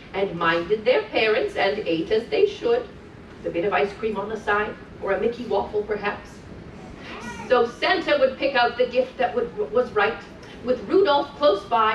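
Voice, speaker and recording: Heard from a distance in an echoing room, a woman plays a cheerful, grandmotherly storybook wife of Santa. The voice is high and sing-song, gently encouraging as it tells rhymed tales to children and chats between verses. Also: muffled recording. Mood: bright storytelling. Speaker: character storyteller at a children's event. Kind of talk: live storytelling to children, rhymed picture-book reading. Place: indoor room on a cruise ship. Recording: distant mic, roomy echo, faint noise.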